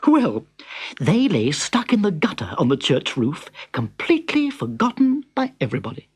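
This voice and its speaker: A man reading a children's story aloud with lively, expressive narration. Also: sits high, moderate pace. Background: background music.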